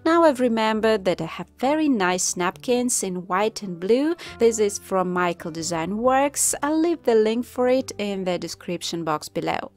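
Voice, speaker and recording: A woman calmly narrating a step-by-step craft tutorial as the channel host, in voice-over. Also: mid-range voice, normal speed, few pauses. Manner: calm, instructive. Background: soft background music.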